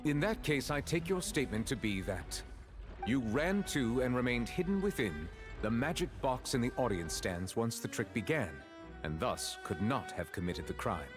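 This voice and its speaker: A man playing a stern male judge in a video game, speaking in a formal, measured, authoritative way. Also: mid-range voice, lively intonation, close to an everyday voice. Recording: music behind the voice.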